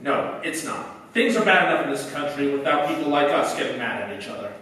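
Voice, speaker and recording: Guy doing cranky brusque neighbor, old radio drama, sharp voice, picked up from across a echoey room. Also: medium pitch, lively intonation, fairly quick, recorded from a distance. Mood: apologetic, earnest. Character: ornery, impatient, brusque neighbor man with shifty agenda. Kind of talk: 1940s radio drama acting on stage. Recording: echoey stage from afar, faint noise.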